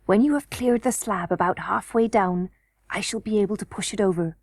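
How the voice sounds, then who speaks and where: A woman voicing a brave, practical young boy miner, hushed, cautious and matter-of-fact, in a children's storybook reading. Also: medium pitch, brisk, dry recording, studio quality, close to an everyday voice.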